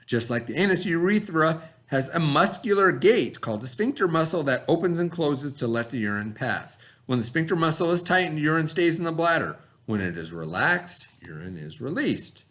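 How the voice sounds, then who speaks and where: A man's voice: on the high side, a bit bright. A middle-aged man reading a lesson aloud to children as their teacher, with simple, instructive explanations.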